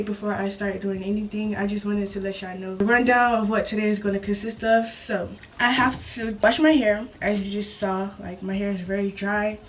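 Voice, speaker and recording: A young woman with a sore throat and a slightly deeper voice, chatting casually and rambling as she films a vlog at home. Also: fairly bright tone, recorded from a distance. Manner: rambling, talks fast with laughs. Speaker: vlogger. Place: at home in her bathroom.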